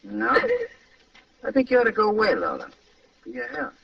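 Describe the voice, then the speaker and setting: Gang boss,, vintage radio crime drama: gravelly, swinging wildly in pitch, coldly threatening, slangy. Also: male voice, wildly swooping pitch, moderate pace, muffled recording, slight background noise, full character voice. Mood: cold menace. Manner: tough gangster talk with slangy grammar. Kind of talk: old-time radio crime drama acting. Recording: distant, band-limited vintage radio recording.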